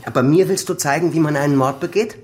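A man's voice: on the high side, fast talker, a little mellow, lightly acted. An actor playing a bitter, desperate adult son who accuses his father with insistent intensity, in a German radio crime drama. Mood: menacing, accusing.

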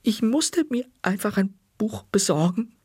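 Scared stammering little boy, shaky high voice, husky edge. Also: very animated, a little slow, a little mellow, no room sound, studio quality, heavily acted. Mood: trembling. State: trembling voice. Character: frightened young schoolboy.

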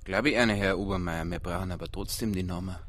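An actor playing a down-to-earth, insistent police detective with a Bavarian accent. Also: male voice, low voice, quick pace, a bit bright, faint music behind.

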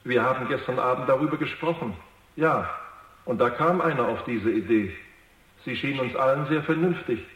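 A naive, well-mannered robot in a radio drama. The voice sits at a middle pitch and rings with echo. It speaks earnestly and deferentially, with an anxious hesitancy. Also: male voice, normal speed, a bit bright. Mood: timid, polite. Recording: echoey, roomy radio drama production.

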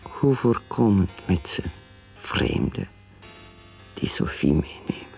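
Embittered, driven career mother in a radio play: intense, unhurried, very expressive intonation. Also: extremely low pitch, creaky, faint music behind, heavily acted. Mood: hurt brooding. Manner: intense, dramatic, swinging between speech and whispered brooding. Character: bitter, ambitious middle-aged career mother who drinks. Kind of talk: radio drama acting, dialogue and inner monologue.

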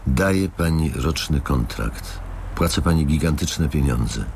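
Old company boss, radio drama, bottomless low voice, cold, controlling, formal, faint background noise. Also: male voice, lively intonation, darkish tone, exaggerated voice. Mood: curt, commanding. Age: older. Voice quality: gravelly. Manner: deliberate, coolly formal, commanding. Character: cold, menacing elderly male company boss, controlling and curt.

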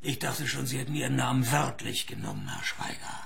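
Gravelly, sardonic private eye. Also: male voice, mid-range voice, brisk, exaggerated voice. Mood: sardonic, dry. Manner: dry, sardonic deadpan. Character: wisecracking, cash-strapped male private detective. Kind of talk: radio play dialogue and first-person noir narration.

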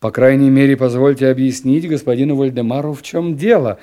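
A man voicing a mocking gentleman acting as master of ceremonies in a literary audiobook, speaking ceremoniously. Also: medium pitch, fairly even intonation, fairly quick, natural voice.

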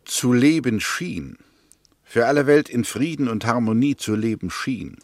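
A probing, sceptical Englishman in a 1960s German radio play. His medium-pitched voice has a creaky edge and sits in a dry studio. He lays out his points deliberately and precisely, with insistence. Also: lively intonation, darkish tone, dry recording. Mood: sceptical, insistent. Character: Mr. Hämmerte, probing, sceptical Englishman arguing a murder case.

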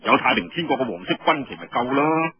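A man's voice: medium pitch, expressive, brisk, slightly rough, no echo, lo-fi sound. A man voicing a modest, easygoing young male army commander in a storytelling broadcast, casual and teasing. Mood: modest, dismissive.

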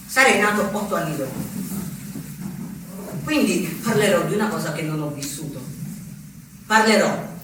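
An actor playing a reflective narrator in a deliberate theatrical monologue, repeating words between dramatic pauses. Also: male voice, high-pitched. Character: reflective male narrator born in the late seventies. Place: theatre stage, recorded from a distance. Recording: echoey, distant stage recording with faint noise.

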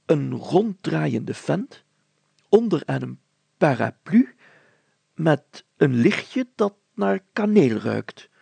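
Man voicing a flustered, creaky, pompous mayor. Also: a little high, big pitch swings, extremely slow, dark tone, no room sound, voice pushed up, exaggerated voice. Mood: fussy, deliberating. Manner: hesitant, hemming and stammering, formal. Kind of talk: children's story character voice.

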